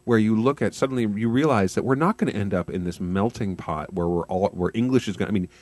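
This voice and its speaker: A man from Canada in casual conversation, animated and often stumbling over or repeating his words. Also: lowish voice, fast talker, no room sound.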